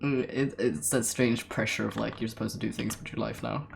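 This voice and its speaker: A young man in his late teens with a deep voice, chatting casually on a livestream while drawing, rambling with lots of fillers, laughing often and swearing casually. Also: fairly even intonation, normal speed, creaky, noisy background. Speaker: artist streamer.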